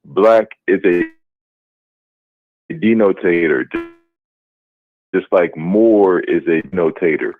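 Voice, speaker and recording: A man's voice: low voice, takes it slowly, long pauses. A man calling in to a debate show, arguing firmly and talking over the host. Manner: insistent, talks over the host. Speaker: caller. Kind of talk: call-in debate. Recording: tinny phone line.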